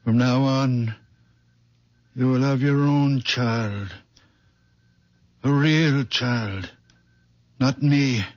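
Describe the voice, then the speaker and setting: An actor playing a very old man with healing hands, speaking softly and slowly in a 1970s radio drama. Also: mid-range voice, dry recording. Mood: prophetic. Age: older. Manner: soft, slow, otherworldly. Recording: dull vintage radio broadcast.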